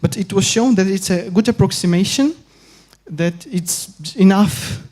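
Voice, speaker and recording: Young man from Ukraine, hesitant academic presenter, warm darker voice. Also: high-pitched. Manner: halting, many filler pauses and restarts. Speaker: conference speaker. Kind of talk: academic conference talk.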